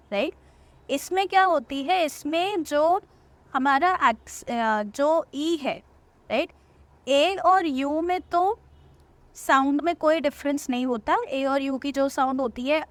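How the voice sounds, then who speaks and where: A young woman teaching a language lesson, explaining step by step, repeating words slowly and often checking in with "right?". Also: high-pitched. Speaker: French teacher. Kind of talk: language lesson in Hindi-English mix.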